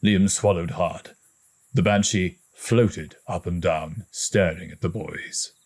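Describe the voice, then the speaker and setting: A man reading a story aloud as an audiobook narrator, in a dramatic, expressive storytelling manner. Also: low-pitched, slow, husky. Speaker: audiobook reader.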